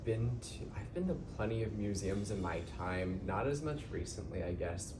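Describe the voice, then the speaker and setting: A man's voice: lowish voice, animated, normal speed, noisy background. A twenty-year-old male student in an interview, stumbling over words with many fillers. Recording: roomy with music underneath.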